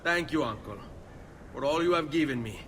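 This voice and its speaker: A man's voice: mid-range voice, expressive, normal speed, fairly bright tone, slight background noise, lightly acted. An actor playing an earnest, headstrong young nobleman with an Italian accent in a video game drama. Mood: earnest, resolute. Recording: music behind the voice.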